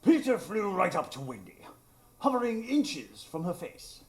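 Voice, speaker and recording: A man playing an earnest gentleman adventurer who narrates a live radio drama. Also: sits high, sing-song, measured pace. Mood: dramatic.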